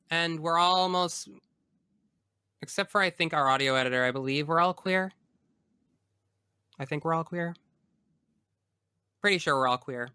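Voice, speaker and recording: A man talking casually and emphatically as a podcast guest, in an expressive, informal way. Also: a little high, clear, resonant tone, dry recording, clean studio sound. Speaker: podcast guest, tabletop game podcast creator. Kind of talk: casual interview chat.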